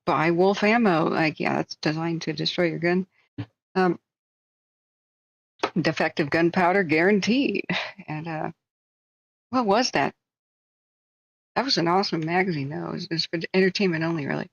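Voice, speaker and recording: A woman's voice: on the low side, normal speed, creaky, long pauses, lo-fi sound. A woman hosting a live stream, chatting casually in a rambling, joking, self-deprecating way.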